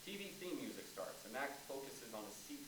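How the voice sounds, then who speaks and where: A man's voice: medium pitch, lively intonation, fairly quick. A man narrating a staged play reading, reading out scripted stage directions. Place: large indoor theatre space, staged reading. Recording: distant echoey room, clearly noisy.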